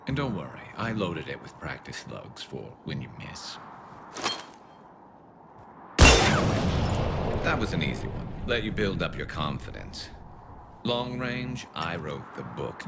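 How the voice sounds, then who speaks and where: An actor playing a wry, boastful male alien sharpshooter in a video game, with a gravelly, drawling teasing delivery. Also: sing-song, moderate pace, very noisy, heavily acted. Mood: cocky, playful. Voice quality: very deep, gravelly, flanged. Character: cocky, wry male alien sharpshooter, bantering old comrade. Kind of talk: video game cutscene acting.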